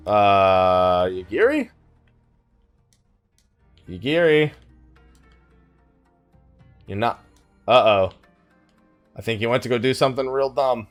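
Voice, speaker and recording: Animated man doing casual game commentary. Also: on the low side, slow pace, long pauses, faint music behind. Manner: thinking aloud, muttering asides to himself. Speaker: let's play host.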